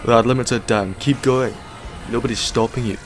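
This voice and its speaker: A man's voice: medium pitch, fairly even intonation, moderate pace, music in the background, close to an everyday voice. A man playing a defiant, sardonic time-travelling hero in an audio drama, taunting his captors. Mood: mocking.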